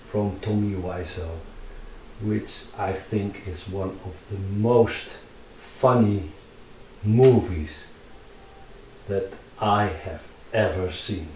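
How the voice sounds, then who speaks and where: Slow, halting Dutch man, roomy and distant. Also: low-pitched, a little mellow, slight background noise. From Netherlands. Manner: halting, many fillers and restarts, thinks aloud while working. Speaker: VR artist. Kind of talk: casual talk while drawing in VR.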